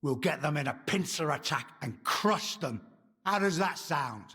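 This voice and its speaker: A calculating militia leader in a game drama. His voice is husky and swings widely in pitch as he gives orders slowly and theatrically. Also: animated, sharp tone, full character voice. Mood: confident, scheming. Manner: deliberate, theatrical, commanding. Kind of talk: dramatic video game dialogue.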